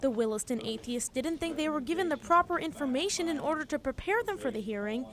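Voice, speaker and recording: A woman's voice: sits high, expressive, quick pace, a bit bright. A young woman delivering scripted television news reports in a formal broadcast manner.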